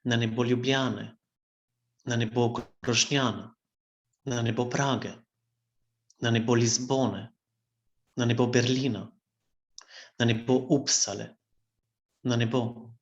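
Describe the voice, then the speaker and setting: A man's voice: medium pitch, fairly even intonation, a little slow, long pauses, lo-fi sound. A man whose first language is Slovene, speaking carefully and unhurriedly before reading his poems over a video call. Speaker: poet.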